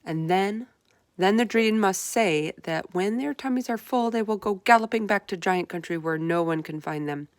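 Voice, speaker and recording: A woman's voice: mid-range voice, moderate pace, dry recording, lightly acted. Clever storybook orphan girl, played by a woman, assured and commanding, laying out orders firmly, dry sound. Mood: scheming, instructing. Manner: firm, instructing, assured. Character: confident, bossy, clever little orphan girl. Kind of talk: storybook read-aloud character voice.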